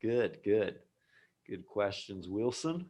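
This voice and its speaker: A man's voice: fairly low pitch, lively intonation, slow, darkish tone, husky. An American man teaching a live English lesson online, speaking patiently and repeating key words for learners. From United States. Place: home office abroad.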